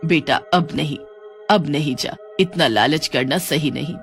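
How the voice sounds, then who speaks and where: A man's voice: on the high side, unhurried, vocal fry, fairly bright tone, soft background music. Man voicing a cautious, worried storybook mother. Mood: cautioning. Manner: cautioning, moralizing. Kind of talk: Hindi storybook acting.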